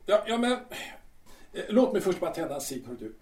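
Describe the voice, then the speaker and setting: A man's voice: high-pitched, sing-song, darkish tone, echoey room, recorded from a distance, a little hiss or hum. A man acting a vain, brash male musical star in an audio drama, who stammers and keeps asking "va?". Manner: boastful, stammering, ends lines with "va?". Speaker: musical star Peter in his dressing room.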